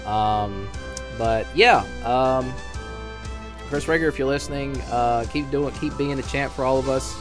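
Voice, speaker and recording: Halting, rambling man over background music. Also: mid-range voice. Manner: hesitant, halting, rambling delivery with frequent trailing restarts. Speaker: fan dub director and voice actor announcing auditions. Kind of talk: unscripted casual announcement to viewers.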